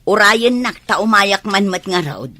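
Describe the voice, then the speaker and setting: Casting: actress as proud laundry-woman mother, forceful husky voice, audio drama. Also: mid-range voice, animated, a little slow, dry recording. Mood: defensive. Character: proud working-class parent doing laundry, hopeful for child. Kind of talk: dialogue in an Ilocano audio drama.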